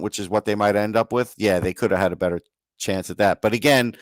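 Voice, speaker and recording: Lower-voiced man, casual sports co-host. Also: dry recording.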